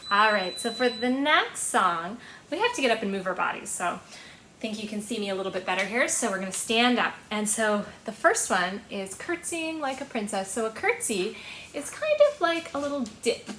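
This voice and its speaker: Bright, high-voiced woman hosting children's storytime. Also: normal speed, a little hiss or hum. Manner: animated, encouraging, addressing young children. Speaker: children's library storytime host. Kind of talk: children's storytime with action rhymes and reading. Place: small home room with bookshelves, indoors.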